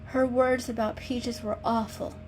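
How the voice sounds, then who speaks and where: A woman voicing a gentle, timid young female cat in a storybook, soft and concerned in manner. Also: a little high, level tone, measured pace, a little hiss or hum, close to an everyday voice, low-quality recording.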